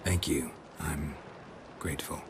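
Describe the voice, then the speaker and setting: An actor playing a gruff, laconic monster hunter in a video game, with terse and understated delivery. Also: male voice, very deep voice, measured pace, noisy background, heavily acted. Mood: tender, restrained. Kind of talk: video game cutscene dialogue.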